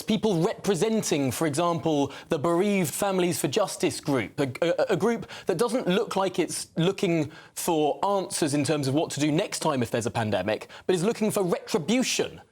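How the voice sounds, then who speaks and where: Lively British male presenter with swooping pitch. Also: normal speed, few pauses. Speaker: news presenter. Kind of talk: broadcast political commentary and questioning.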